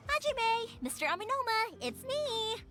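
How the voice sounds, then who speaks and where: A woman's voice: extremely high pitch, very animated, measured pace, over music, exaggerated voice. A woman playing a cheerful, chatty young fireworks maker in an anime video game. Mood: eager, pleading. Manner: bubbly, talkative, exclaiming.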